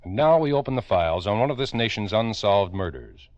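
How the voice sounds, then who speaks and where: Low, dramatic American radio crime narrator. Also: male voice, expressive, darkish tone, no echo. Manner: grave, dramatic, deliberate. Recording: dull vintage radio recording with music.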